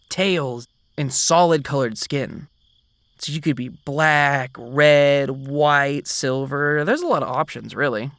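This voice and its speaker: A man's voice: a little high, fairly bright tone, no echo. A man doing an ASMR roleplay as a boyfriend, patiently explaining things to the listener. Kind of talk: soft-spoken ASMR roleplay.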